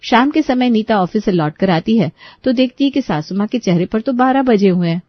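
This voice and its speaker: A woman narrating a scripted family story in an expressive storytelling style. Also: fairly high pitch, fairly quick, no echo, dull audio.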